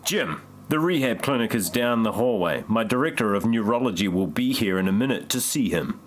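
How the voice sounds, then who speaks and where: A man's voice: lowish voice, animated, a little fast. A man playing a flippant hospital doctor in a parody audio play, mock-grave and wry.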